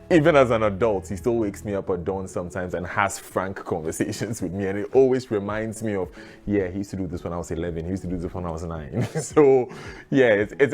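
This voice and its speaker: A man's voice: on the low side, a little mellow. A man telling personal stories in an interview, reflective and often breaking into laughter. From Ghana.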